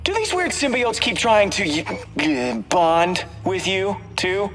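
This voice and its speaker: A goofy, cocky space outlaw from a video game, always joking. His pitch leaps around expressively, with long reverb and faint music. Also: sing-song, unhurried, a bit bright, exaggerated voice. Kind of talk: video game character dialogue.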